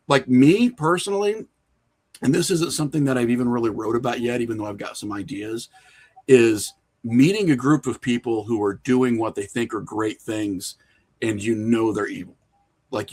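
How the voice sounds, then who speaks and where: A middle-aged man speaks as a guest in a relaxed interview. His voice sits at an average male pitch. He talks candidly, pokes fun at himself and swears freely. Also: moderate pace. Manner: candid, self-mocking, profane. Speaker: podcast guest, horror filmmaker and writer. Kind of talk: casual interview chat.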